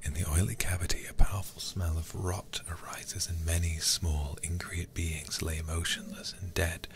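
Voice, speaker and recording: A man softly whispering close to the microphone in an ASMR game stream, reading text deliberately and murmuring intimate asides to his viewers. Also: flat delivery, noisy background. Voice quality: breathy whispering, low and gravelly. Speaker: livestreamer playing a horror game.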